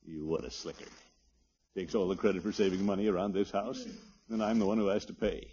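An actor playing a blustery but soft-hearted middle-aged family man in a 1950s radio sitcom, muttering and blustering. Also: deep voice, steady tone, brisk, husky. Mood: grumbling. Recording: muffled old 1950s radio recording.

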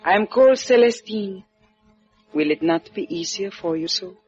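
An actress playing a proud, sorrowful Cuban wife, formal and melodramatic in a 1950s radio drama. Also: female voice, mid-range voice, expressive, moderate pace, a little mellow, close to an everyday voice. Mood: guarded, resigned. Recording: muffled old radio recording, music.

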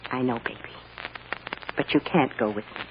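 A woman's voice: rumbling low, normal speed. An actress playing a despairing accused mother, emotional and resigned, in 1940s radio drama style. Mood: tender, sorrowful. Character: distraught, despairing young mother on trial for murder. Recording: muffled, hissy old radio recording.

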